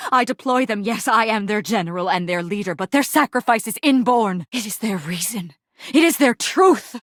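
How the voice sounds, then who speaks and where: A woman's voice: on the low side, no room sound, studio quality. A woman voicing a proud, melodramatic warrior general in a video game, speaking in formal, theatrical, Shakespearean old English. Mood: impassioned, grieving. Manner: grandiose archaic Shakespearean speech, formal and theatrical.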